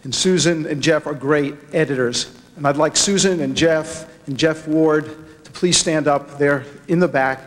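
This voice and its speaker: A man giving an acceptance speech, somewhat halting, with repeated words. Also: fairly high pitch, a little hiss or hum. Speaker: documentary producer accepting an award. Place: large hall at an awards ceremony. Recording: echoey large hall with long reverb.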